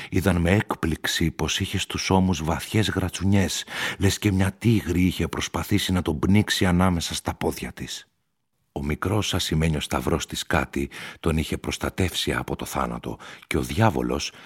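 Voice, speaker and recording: A man narrating a literary radio play in a measured storytelling manner. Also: a bass voice, breathy edge. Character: male storyteller of a gothic theatre tale.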